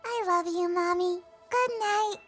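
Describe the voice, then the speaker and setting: A little girl princess in a game, super high voice, sweet, excitable, slow pace. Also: faint music behind. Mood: sleepy, loving. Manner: childlike, excitable. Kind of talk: video game cutscene acting.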